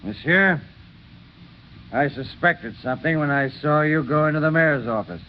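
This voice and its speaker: An actor playing a suspicious, unyielding innkeeper with a curt, deliberate manner in an old radio drama. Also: male voice, fairly high pitch, animated, lightly acted. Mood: stern, accusing. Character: cold, suspicious French village innkeeper turning away guests. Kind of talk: 1930s radio drama acting. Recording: muffled, noisy vintage radio recording.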